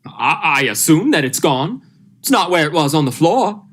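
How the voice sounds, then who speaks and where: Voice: mid-range voice, big pitch swings, normal speed, a bit bright. A young performer playing an urgent, commanding male doctor in an old-style radio thriller, emphatic and melodramatic. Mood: defensive, pointed. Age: young adult. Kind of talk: 1940s radio thriller acting.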